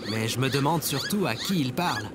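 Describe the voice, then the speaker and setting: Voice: mid-range voice, expressive, a little fast, very noisy, natural voice. A performer playing a stubborn, whiny, hapless last survivor on Earth in an audio comedy, with an exasperated, grumbling manner. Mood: puzzled, casual. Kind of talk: scripted audio comedy drama.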